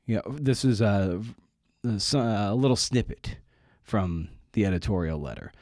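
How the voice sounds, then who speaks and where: A man's voice: on the low side, expressive, normal speed, a little mellow, no room sound, clean studio sound. A man hosting a podcast, talking informally in a candid, self-deprecating way. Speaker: podcast host.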